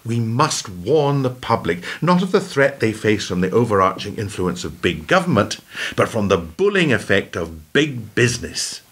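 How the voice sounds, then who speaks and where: An actor playing a principled, defiant former prime minister in a radio play, speaking in an earnest, oratorical way with a husky voice. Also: male voice, on the low side, sing-song, normal speed, fairly bright tone, exaggerated voice. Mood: earnest, grave.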